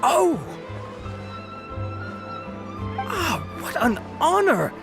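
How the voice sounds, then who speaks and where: A man's voice: very high voice, wildly swooping pitch, takes it slowly, vocal fry, over music, exaggerated voice. An actor playing a vain, showy researcher in a video game, theatrical and pompous, like a salesman giving a speech. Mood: flattering. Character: vain, showy male researcher pitching his invention.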